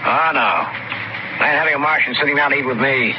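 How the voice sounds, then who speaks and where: An actor playing a gruff, suspicious American prospector father with a curt, folksy way of talking, in an old-time radio drama. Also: mid-range voice, fast, lightly acted. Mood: scornful. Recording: muffled, noisy old radio recording.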